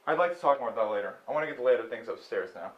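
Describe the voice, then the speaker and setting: A man's voice: medium pitch, expressive, very fast, natural voice. A man acting in a stage play as a condescending county attorney, questioning people in a smug, probing way. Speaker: stage actor. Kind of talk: amateur stage play dialogue. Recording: dull, muffled stage recording.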